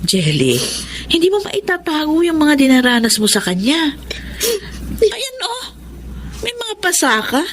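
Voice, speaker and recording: Bossy protective auntie in a radio drama, middle-aged, highish, very lively and indignant. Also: female voice, measured pace, pauses often, a little hiss or hum, heavily acted. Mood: stern, urging. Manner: indignant, exclaiming. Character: stern, protective aunt who raised her niece.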